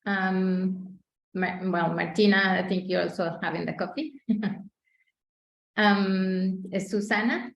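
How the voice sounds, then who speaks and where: Slow-talking woman hosting from an echoey room. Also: mid-range voice, vocal fry, slight background noise. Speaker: webinar host. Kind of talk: informal online meeting facilitation. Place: indoor room on a video call. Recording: echoey room, muffled video-call audio.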